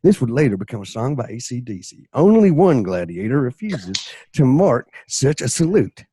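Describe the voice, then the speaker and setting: A man's voice: mid-range voice, very animated, normal speed, slightly rough, no room sound. A man reading stage directions as narrator of an amateur radio play, in a theatrical, playful and dramatic way. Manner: dramatic, playful, acts out shouts and crowd.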